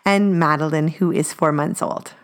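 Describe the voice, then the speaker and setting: A woman in her forties co-hosts a parenting podcast, her voice sitting on the lower side. She reads a definition aloud steadily and carefully. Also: steady tone, normal speed, clear, resonant tone. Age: 40s. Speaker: podcast co-host.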